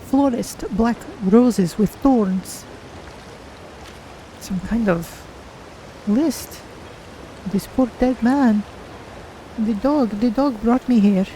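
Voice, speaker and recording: A woman's voice: fairly high pitch, extremely slow, long pauses, dark tone, a little hiss or hum, poor audio. A woman voicing a curious, naive cat-folk adventurer, musing aloud in careful, formal phrases as she plays a game. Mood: puzzled. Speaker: streamer voicing own game character. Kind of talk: in-character game playthrough narration.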